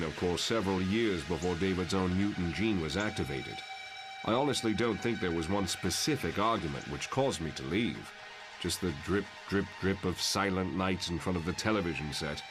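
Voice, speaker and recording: An actor playing a reflective, guilt-ridden professor in a motion comic, speaking in a measured, articulate way. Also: male voice, deep voice, lightly acted. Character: brooding mutant professor, reflective, guilt-ridden father in a wheelchair. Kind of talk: motion comic narration and dialogue.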